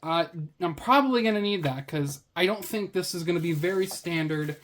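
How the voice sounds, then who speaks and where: A woman's voice: lowish voice, fairly quick. A woman reviewing a gadget in a casual unboxing commentary, with a sarcastic, wry way of talking.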